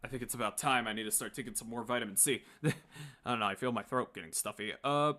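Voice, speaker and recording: A man's voice: medium pitch, fast talker, sharp tone. A man chatting casually to his viewers as a livestream host, joking around and swearing freely. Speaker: livestream host and comic dub creator. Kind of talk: casual rambling chat to viewers.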